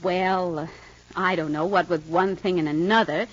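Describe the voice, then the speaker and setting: An earnest, gentle young wife from a vintage radio soap, a little hesitant in how she speaks. Her voice sits slightly low and has a husky edge. The sound is muffled and lo-fi, like an old radio broadcast. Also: fairly even intonation, a little slow. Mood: flustered. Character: devoted young wife longing to adopt. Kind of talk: 1940s radio acting.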